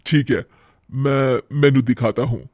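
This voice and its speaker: A man playing a caring but exasperated young husband in a cartoon story, expressive and reproachful. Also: medium pitch, a little slow, dry recording, clean studio sound, voice pushed down, close to an everyday voice. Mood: indulgent. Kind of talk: animated Hindi moral-story cartoon acting.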